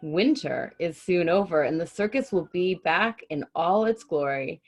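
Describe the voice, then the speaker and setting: A woman voicing a knowing, kindly mother who sews for the circus, in an assured, gentle storybook delivery. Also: lowish voice, lively intonation, measured pace, faint music behind.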